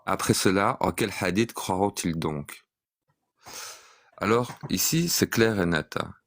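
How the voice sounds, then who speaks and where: A man in an online debate, speaking calmly and methodically and reciting verses to make his point. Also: low voice, unhurried, no echo. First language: French speaker.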